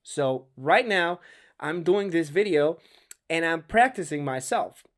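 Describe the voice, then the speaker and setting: Higher-pitched man with a Spanish accent. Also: animated, unhurried. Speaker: actor giving a voice and diction lesson. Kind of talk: casual instructional talk to camera.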